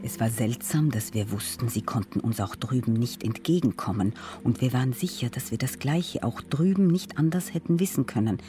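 A man reciting literary prose for a radio sound piece, solemn and measured, in short phrases. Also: mid-range voice, recorded from a distance. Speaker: reader of poetic prose. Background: music.

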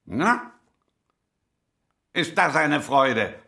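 An actor playing an old tailor, grumpy yet dreamy, in a slow, theatrical manner for a children's radio play. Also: male voice, fairly high pitch, very animated, vocal fry, sharp tone, full character voice. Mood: kindly, instructive. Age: older. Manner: theatrical, slow, repeating himself.

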